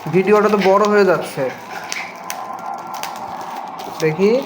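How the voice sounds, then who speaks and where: Higher-voiced Bangladeshi man, noisy recording. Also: fairly quick. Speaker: vlogger unboxing a product. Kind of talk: casual unscripted vlog narration. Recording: noisy home video audio.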